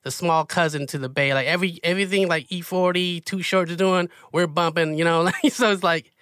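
A man's voice: on the high side, steady tone, a little fast, fairly bright tone, clear, resonant tone, dry recording, clean studio sound. Upbeat young-sounding man hosting a chat. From US Pacific Northwest. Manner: upbeat, friendly, lots of fillers. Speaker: podcast host. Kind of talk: casual interview chat.

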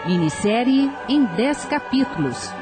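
A woman's voice: a little high, sing-song, a little slow. A woman announcing the titles of a radio drama from a script. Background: music.

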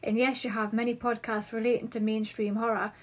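A woman in her thirties speaks with a Geordie accent, her voice sitting a little high for a woman. She hosts a solo podcast in a chatty, upbeat way, working through questions sent in by listeners. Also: little pitch movement, brisk. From northeast England. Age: 30s. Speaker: podcast host.

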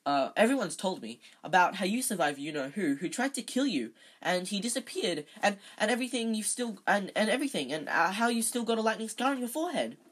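A woman's voice: lowish voice, animated, fairly quick, hardly any pauses, breathy edge. A woman voicing an eager, starstruck small schoolboy who babbles and stammers breathlessly in a storybook reading. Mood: eager, awed.

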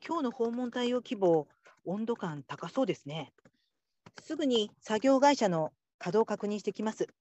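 A woman's voice: mid-range voice, animated, normal speed, warm tone, natural voice. A woman playing a practical call-centre supervisor, giving businesslike instructions in a radio drama.

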